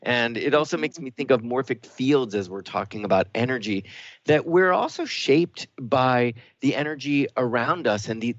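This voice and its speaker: A man's voice: mid-range voice, no room sound. A man co-hosting a radio show, talking in an earnest, explanatory and reflective way. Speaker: radio co-host. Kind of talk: reflective talk-show discussion. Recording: dull, dry radio audio.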